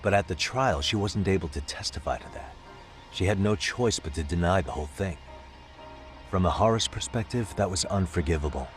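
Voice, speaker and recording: Game detective, deep voice, measured, persuasive, insistent, faint music behind. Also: male voice, lively intonation. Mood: grave, insistent. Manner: measured, reasoning, politely insistent. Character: calm, persuasive male detective pressing for information. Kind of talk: dramatic game cutscene dialogue.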